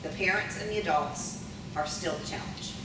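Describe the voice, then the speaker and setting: A middle-aged woman speaks to a conference audience in a loud, friendly teacher voice, often pausing on ums and asking the room questions. She is picked up from a distance in a big, very echoey hall with clear background noise. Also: lowish voice. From Maryland.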